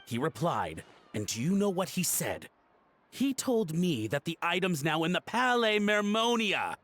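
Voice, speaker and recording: An actor playing a nervous, guilty antique collector in a video game, melodramatic and easily flustered. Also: male voice, high-pitched, big pitch swings, unhurried, a bit bright, slight background noise, exaggerated voice. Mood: sheepish, confiding. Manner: flustered stammering and melodramatic pleading. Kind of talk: voice-acted game dialogue.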